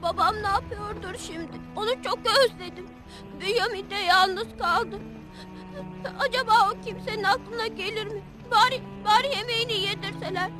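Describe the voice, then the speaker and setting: Small boy, very high childlike voice, innocent, earnest, pleading, halting, cartoon dub, strong background music. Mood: sad, longing. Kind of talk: cartoon dubbing.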